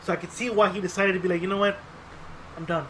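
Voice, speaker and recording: A man's voice: sits high, quick pace, slight background noise. A man chatting casually as a podcast co-host, rambling and profane, acting out quotes as he tells stories. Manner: profane, rambling, animated storytelling with acted-out quotes. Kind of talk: casual chat.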